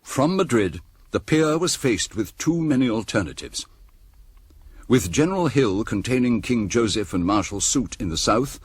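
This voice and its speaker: An actor narrating a historical military radio drama in a measured, scripted style with a husky voice. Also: male voice, medium pitch, no room sound.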